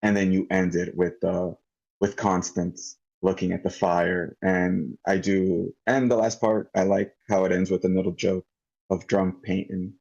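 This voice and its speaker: Deep-voiced man, dull lo-fi audio. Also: level tone, measured pace, vocal fry, a little mellow. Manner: hesitant, thinking aloud. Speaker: participant giving script feedback. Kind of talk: casual spoken critique. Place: online group meeting. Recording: dull lo-fi online call audio.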